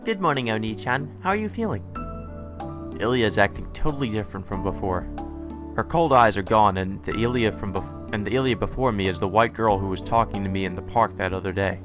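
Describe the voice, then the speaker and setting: Low-voiced man reading a visual novel. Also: fairly quick, over music. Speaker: let's play host reading a visual novel aloud. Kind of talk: reading game text aloud with asides.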